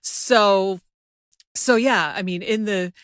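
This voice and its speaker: A woman's voice: mid-range voice, slow, fairly bright tone, smooth, dry recording, studio quality. A woman hosting a casual interview, talking in a thoughtful, tentative, conversational way. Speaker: podcast host, interviewer.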